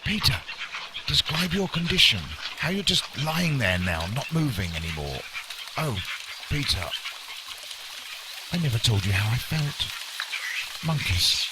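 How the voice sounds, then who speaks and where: An actor playing an earnest, pedantic and squeamish broadcaster, describing things hesitantly for a mock radio documentary. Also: male voice, medium pitch, sing-song, measured pace, long pauses, heavy background noise. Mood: distressed. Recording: very loud jungle animals and water.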